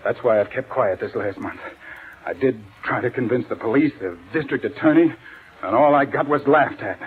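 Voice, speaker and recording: A man's voice: mid-range voice, moderate pace, breathy edge. A panicky haunted man, old time radio mystery, earnest n overwrought, really tinny narrow sound. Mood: earnest, pleading. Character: anxious, haunted man driven frantic by suspicion. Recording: tinny vintage radio recording.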